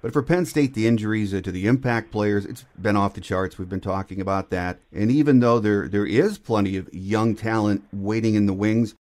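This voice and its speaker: A man's voice: lowish voice, normal speed, hardly any pauses, darkish tone. A man hosting a conversational sports interview, building long lead-ins toward questions for his guest. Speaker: sports podcast host, interviewer.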